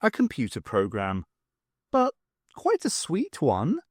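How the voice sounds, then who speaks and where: A man voicing a brisk, determined adventurous woman, wry and commanding, in an audiobook reading. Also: rumbling low, wildly swooping pitch, husky, no room sound, studio quality, exaggerated voice.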